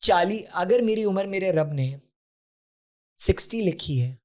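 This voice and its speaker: A man's voice: sits high, animated, measured pace. This is a man, a guest on a conversational podcast. He talks in an earnest, explanatory way and often drops English terms into his sentences. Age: 40s. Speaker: podcast guest, health advocate. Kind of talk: conversational interview.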